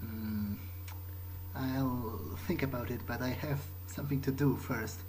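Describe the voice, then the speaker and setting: A man's voice: mid-range voice, steady tone, unhurried, a little mellow, slight background noise. A man doing in-character video game commentary, thinking aloud in a musing, understated way. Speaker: gamer doing an in-character playthrough. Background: game music and street sounds.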